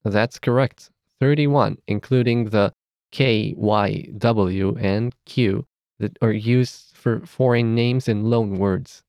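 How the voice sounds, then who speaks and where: A man with a Romanian accent teaching a recorded language lesson, saying each letter slowly and clearly. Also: fairly low pitch, a little mellow, dry recording. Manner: measured, careful enunciation of letters.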